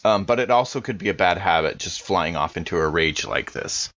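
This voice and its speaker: Brief: male tabletop player, deep voice, casual table talk, slightly muffled sound. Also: expressive, fairly quick, a bit bright. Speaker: player in a tabletop game podcast. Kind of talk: casual game-table chat.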